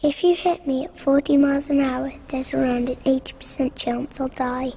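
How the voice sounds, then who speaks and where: A woman's voice: high-pitched, level tone, moderate pace, mellow, a little hiss or hum. A young female performer playing a plaintive, matter-of-fact girl road victim in a safety film. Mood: grave, plaintive. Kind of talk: public safety film monologue.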